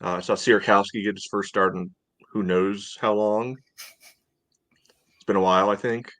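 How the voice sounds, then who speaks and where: A man with a fairly low voice co-hosts a casual sports podcast. He breaks things down in a measured, hedging way, leaning often on "you know". The sound is dry, with little room in it. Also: steady tone, fairly bright tone, no echo. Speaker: podcast co-host. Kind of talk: casual sports analysis chat.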